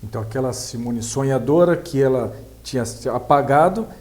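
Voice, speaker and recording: Mellow, dark-voiced Brazilian male interviewer. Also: medium pitch, normal speed. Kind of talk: conversational interview.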